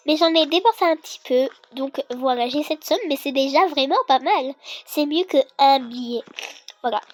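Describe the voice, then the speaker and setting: A woman's voice: high voice, normal speed, no echo. A young girl with a congested, sick voice, casually explaining a video game in a hesitant, rambling way. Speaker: gaming video creator. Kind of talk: casual game tutorial commentary.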